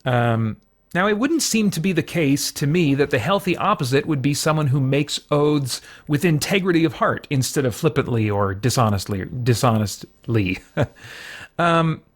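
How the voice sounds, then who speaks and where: A man hosting an informal Bible study for an online audience, talking in a casual, halting way as he thinks aloud with frequent asides. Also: on the high side, animated. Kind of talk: informal sermon, conversational Bible teaching.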